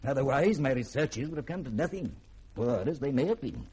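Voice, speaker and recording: An actor playing a proud, learned middle-aged scientist, lecturing precisely and grandly in a 1950s radio drama. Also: male voice, mid-range voice, sing-song, a little fast, a little mellow, breathy edge. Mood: grave, intent. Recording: old radio broadcast, faint hiss.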